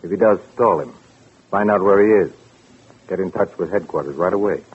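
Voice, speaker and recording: An actor playing a dry, businesslike police lieutenant in a 1940s radio drama, terse and probing. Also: male voice, sits low, a little fast, slightly rough. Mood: probing, official. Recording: old radio recording, narrow band.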